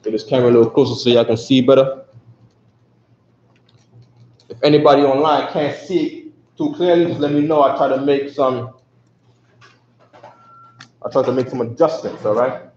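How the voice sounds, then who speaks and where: A man's voice: mid-range voice, normal speed, long pauses, darkish tone. Echoey far-off man teaching a class. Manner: repeats himself, constantly asks "you know what I'm saying?". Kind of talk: casual live classroom lesson. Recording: echoey, distant, dull live stream.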